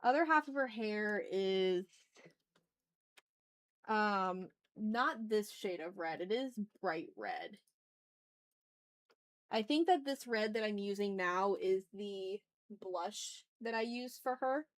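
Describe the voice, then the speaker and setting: Woman art streamer just chatting while she draws, medium pitch, thinks out loud, pokes fun at herself, swears a bit. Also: animated, takes it slowly. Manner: self-deprecating, swears freely, thinks aloud. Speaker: art livestreamer. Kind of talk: casual livestream chat while drawing.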